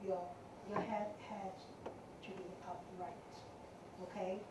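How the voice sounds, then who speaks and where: A woman teaching a meditation class, speaking in a gentle way with simple phrasing and many fillers. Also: medium pitch, level tone. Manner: slow, gentle, non-native phrasing with fillers. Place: temple meditation hall. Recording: echoey hall, distant mic, noisy.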